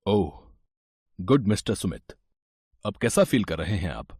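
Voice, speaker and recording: A man's voice: deep voice, big pitch swings, measured pace, darkish tone, slightly rough, no room sound, voice pushed down, exaggerated voice. A man plays a serious cartoon hospital doctor. He speaks formally and with measure, in a dry studio sound. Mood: reassuring. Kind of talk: cartoon story acting.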